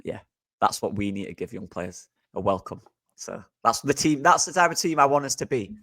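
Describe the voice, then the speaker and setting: A man's voice: medium pitch, expressive, a little fast, bright, forceful tone, dry recording. A man with a Yorkshire accent, co-hosting a casual football podcast, talking with enthusiasm. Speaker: podcast co-host.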